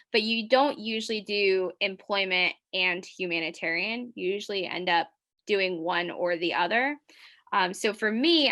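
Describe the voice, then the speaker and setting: A woman's voice: fairly low pitch, sharp tone. A young American woman, an immigration attorney, speaking earnestly and conversationally on a law school panel. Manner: earnest, conversational, with fillers and restarts. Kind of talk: panel discussion.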